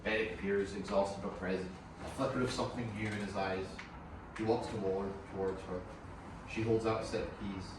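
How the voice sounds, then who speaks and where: A distant, heavily echoing recording with clear noise behind a man narrating screen directions. The voice is low, reading a film script in dramatic fashion. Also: fairly even intonation, fairly quick. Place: echoey room at a group table read. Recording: very echoey, distant, noisy room.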